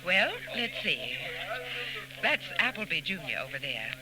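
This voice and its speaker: A woman's voice: lowish voice, very animated, measured pace. An actress playing a poised, flirtatious, wealthy society woman with arch, bantering wit in 1940s radio drama style. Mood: coy, bantering. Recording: noisy old 1940s radio tape.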